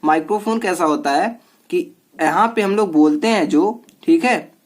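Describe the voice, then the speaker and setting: High-voiced man teaching step by step. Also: moderate pace. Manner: repetitive step-by-step explaining with frequent check-in tags. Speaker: electronics teacher. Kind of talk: informal explanatory lecture.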